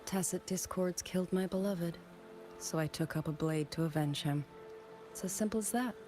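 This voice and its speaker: An actress playing a gentle, wistful widowed musician turned soldier in a game, speaking in a soft, measured, reflective way. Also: female voice, on the low side, music in the background, lightly acted. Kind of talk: scripted game dialogue.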